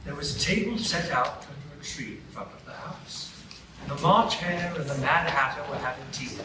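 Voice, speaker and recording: A man narrating a storybook radio play in a measured way, his voice a touch higher than most men's. He sits far from the mic, in a very echoey room with clear background hum. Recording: roomy live stage, distant mics.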